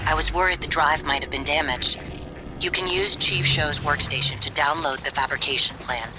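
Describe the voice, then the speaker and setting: An actor playing a composed, helpful artificial guide in a video game, speaking in a measured, matter-of-fact way. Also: male voice, fairly high pitch, heavy background noise, close to an everyday voice. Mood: calm, approving. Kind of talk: video game guidance dialogue.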